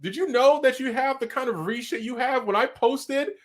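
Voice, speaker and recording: A man with a Nigerian accent hosting a live online talk show, energetic and hyped, over a video call mic. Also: very high-pitched, normal speed. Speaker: host.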